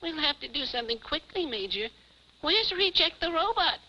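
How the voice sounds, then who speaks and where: Boyish alien puppet, high-pitched, piercingly bright, exclamatory, caring, bossy, vintage TV puppet show. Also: big pitch swings, moderate pace, full character voice. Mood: urgent, scolding. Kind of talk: 1950s television puppet show acting. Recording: muffled 1950s television soundtrack, faint hiss.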